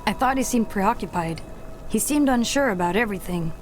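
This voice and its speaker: A woman's voice: mid-range voice, lively intonation, a little fast, natural voice. A woman playing a small, plucky female cartoon Smurf in a video game cutscene, with music behind. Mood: doubtful.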